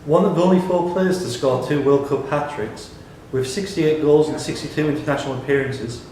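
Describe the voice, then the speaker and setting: A man's voice: a little high, a little fast, a little mellow. A man reading out questions and answers as the host of a live pub quiz. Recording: very echoey room with background noise.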